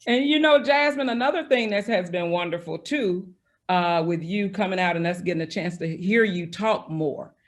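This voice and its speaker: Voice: medium pitch, normal speed. Affirming interview host. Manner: warm-hearted, affirming, with many "mm-hmm" and "uh" fillers. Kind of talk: conversational interview.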